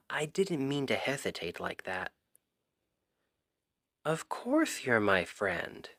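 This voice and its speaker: A man's voice: medium pitch, a bit bright, dry recording, clean studio sound, heavily acted. A man playing an exasperated, secretly smitten young Cupid best friend in a conversational, emphatic ASMR roleplay. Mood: hesitant, earnest. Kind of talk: ASMR roleplay audio drama, one-sided conversation.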